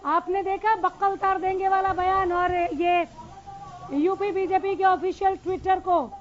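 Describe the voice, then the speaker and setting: A woman's voice: very high-pitched, steady tone, unhurried, slight background noise. A woman moderating a live TV news panel debate as its anchor. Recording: dull, band-limited broadcast audio.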